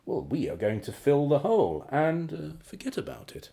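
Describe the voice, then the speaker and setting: An actor in a radio play playing a suave, menacing crime boss with a mockingly genteel, condescending and drawn-out delivery. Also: male voice, a little high, sing-song, vocal fry, dark tone. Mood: amused, suave. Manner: theatrical, condescending, chuckling politeness with drawn-out phrases.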